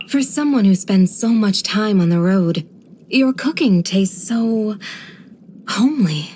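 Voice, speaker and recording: Game role: actress as serene female village guardian, kindly and dutiful, unhurried speech, mid-pitched, light background noise. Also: close to an everyday voice. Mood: light, appreciative. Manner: measured, gentle, formal storytelling delivery. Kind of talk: scripted video game character voice lines.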